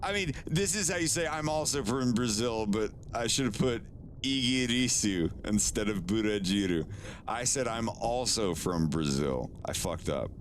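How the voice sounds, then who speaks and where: A man streaming live in casual chat, relaxed, often stammering and repeating words and swearing now and then. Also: lowish voice, moderate pace, slight background noise. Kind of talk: casual live-stream chat while doing language lessons.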